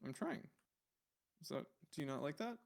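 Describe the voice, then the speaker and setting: A man's voice: a little high, big pitch swings, moderate pace, creaky. A man hosting a livestream, chatting casually and rambling as he thinks aloud in a puzzled, musing way while browsing. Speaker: livestream host.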